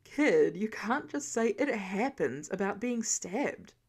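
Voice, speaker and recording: A woman plays a masked vigilante in his thirties from an audio story. He is gruff and protective, pitched down low, and gives orders in short, firm lines. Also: very animated, takes it slowly, heavily acted. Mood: stern, protective.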